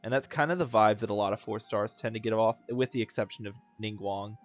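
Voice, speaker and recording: Young male streamer, chatty and laughing, lowish voice, game music faint underneath. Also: brisk. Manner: chatty, laughs, thinks aloud. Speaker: gaming streamer. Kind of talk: casual reaction commentary.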